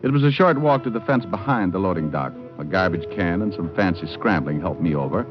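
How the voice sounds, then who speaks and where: Low-voiced wry private eye. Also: male voice, lively intonation, fairly quick. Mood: wry, cool. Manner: wry, dry wit. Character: wisecracking, cool male private detective. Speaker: lead and narrator. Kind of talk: 1940s-50s hardboiled radio narration. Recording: dull old radio recording. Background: music.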